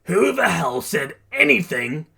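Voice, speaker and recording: Explosive teenage boy rival, acted by a man: brash, shouty, combative, raised pitch, storytelling. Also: sing-song, a little slow, vocal fry, breathy edge, heavily acted. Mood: fired up.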